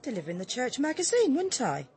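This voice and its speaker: An actress playing an opinionated, disapproving publican's wife with an English accent in a radio drama, tart and gossipy. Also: female voice, high voice, huge pitch swings, quick pace, darkish tone, slight background noise, exaggerated voice.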